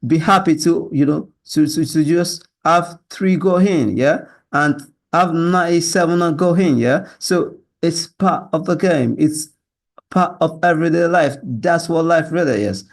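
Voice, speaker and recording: A Yoruba-speaking Nigerian man with a learned British accent, pitching himself as a salesman in an assertive monologue, often tagging statements with a questioning yeah or right. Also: a little slow. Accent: learned British with Nigerian influence. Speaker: sales closer, job applicant. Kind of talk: self-presentation monologue to camera.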